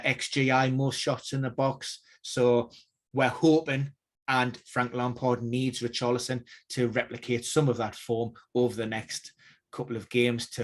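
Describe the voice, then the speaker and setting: A man giving injury news analysis on a casual live stream, measured and hedging, with many fillers and restarts. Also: medium pitch.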